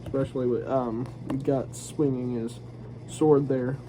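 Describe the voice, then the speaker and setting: A man's voice: mid-range voice, fairly even intonation, a little slow. A man with a stuffy, congested nasal voice giving a casual review, rambling and hesitant and often restarting his sentences. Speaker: manga reviewer. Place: home room with a squeaky office chair. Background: faint background noise.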